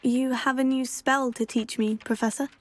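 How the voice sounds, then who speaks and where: Game role: teenage student witch female actor, higher voice, courteous, keen, earnest, light music underneath. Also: steady tone, moderate pace, close to an everyday voice. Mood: curious, eager. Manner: polite, earnest. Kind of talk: scripted game cutscene acting.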